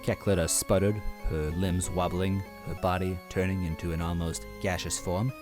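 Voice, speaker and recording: A man's voice: low-pitched, level tone, moderate pace, music in the background. A man narrating a first-person story expressively as a reader.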